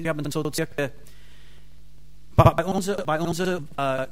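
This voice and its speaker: American man preaching in halting German. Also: on the high side, rough recording. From United States. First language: English. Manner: halting, searching for words, many fillers and restarts; fervent bursts of praise. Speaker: pastor and Bible school teacher. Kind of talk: sermon.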